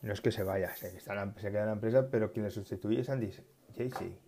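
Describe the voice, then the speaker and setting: A man chatting casually about tech news, halting often and correcting himself as he goes. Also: lowish voice, dark tone, slight background noise. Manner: halting, restarts and self-corrections, musing. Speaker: tech vlogger.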